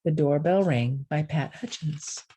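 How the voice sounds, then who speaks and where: A woman's voice: low-pitched, a little slow, dark tone, husky. A woman reading a picture story aloud expressively for children. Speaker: storybook reader.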